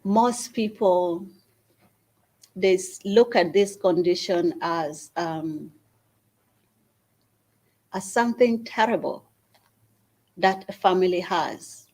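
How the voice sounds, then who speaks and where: A woman from Uganda, the founder of a small charity, gives an earnest speech at an event and makes an appeal with long pauses. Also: lowish voice, a little mellow. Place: event hall at a university.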